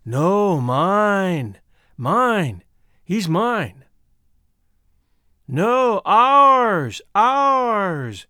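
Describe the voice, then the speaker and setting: A man's voice: high voice, sing-song, very slow, fairly bright tone, no echo, studio quality, full character voice. A man voicing a chorus of eerie, possessive, gloating spirits in an audiobook, chanting in sing-song repetition.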